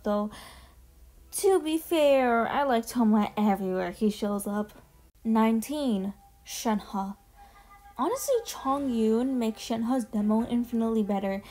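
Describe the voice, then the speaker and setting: A woman with a fairly high voice talks casually, as if running through a ranked list for viewers. She is informal and chatty and swears freely. Music plays faintly behind her. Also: mellow, poor audio. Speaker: video host ranking game character demos. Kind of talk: casual commentary over video clips. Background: background music.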